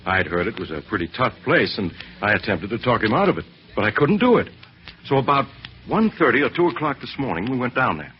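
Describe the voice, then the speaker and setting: Man acting an anxious client with lively pitch swings. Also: fast, lightly acted. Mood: earnest, uneasy. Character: anxious male friend of a missing man, a client. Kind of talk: old-time radio drama acting. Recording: muffled, noisy vintage radio broadcast.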